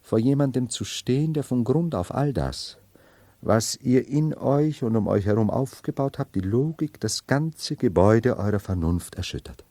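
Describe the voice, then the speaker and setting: A man's voice: mid-range voice, expressive, moderate pace, mellow, dry recording. An actor playing a brooding nobleman who feigns madness as a medieval emperor, theatrical and mercurial, in a 1970s radio play. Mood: agitated, menacing. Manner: theatrical, mercurial, dwelling on words then bursting out.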